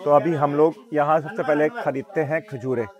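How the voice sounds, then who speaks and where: A man's voice: a little high, fairly even intonation, normal speed. A man in his thirties with a Pakistani accent, chatting casually as he hosts a shopping vlog, often repeating his phrases. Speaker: vlog host. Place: busy supermarket and its car park.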